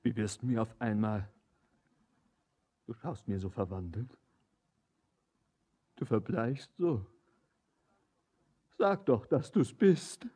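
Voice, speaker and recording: An alarmed young lover in a verse radio drama. The voice is dark and breathy. He delivers urgent, broken phrases slowly and with weight, sounding bewildered. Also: medium pitch, animated.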